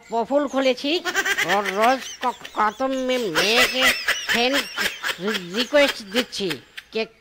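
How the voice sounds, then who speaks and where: Slow, stuttering lovelorn cartoon fox thief voiced by a woman. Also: a little high, very noisy, natural voice. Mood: glum complaining. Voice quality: heavy stutter on first syllables. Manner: melodramatic, halting. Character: lovelorn stuttering male fox thief, boastful and self-pitying. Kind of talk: cartoon voice acting.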